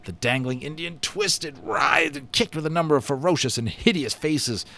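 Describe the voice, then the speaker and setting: A man's voice: a little high, moderate pace, gravelly, bright, forceful tone. A man reading a children's story aloud with enthusiastic, dramatic expression and casual asides. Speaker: amateur voice actor reading a children's book aloud.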